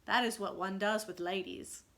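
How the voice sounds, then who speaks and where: A woman's voice: mid-range voice, steady tone, moderate pace, a bit bright, natural voice. A woman voicing a solemn, dutiful young boy who sounds earnest and self-important in a storybook reading.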